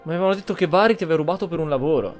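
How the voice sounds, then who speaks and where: An Italian man with a slightly high voice commentates casually on a video game. He muses aloud and echoes the dialogue, with game music playing behind him. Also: rapid-fire. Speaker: gameplay streamer.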